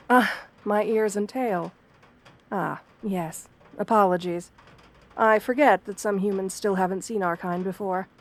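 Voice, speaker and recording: A woman plays a wolf-girl therapist in an ASMR roleplay, with a soft-spoken voice of medium female pitch. She is gentle and understanding, choosing formal words with measured care. Her manner stays composed, professional and reassuring. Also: close to an everyday voice.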